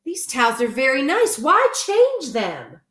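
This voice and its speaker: A woman's voice: high voice, wildly swooping pitch, unhurried, a bit bright, reverberant, voice pushed up, exaggerated voice. A woman voicing a cheerful, literal-minded housekeeper from a children's storybook, chatting folksily and musing aloud. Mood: puzzled.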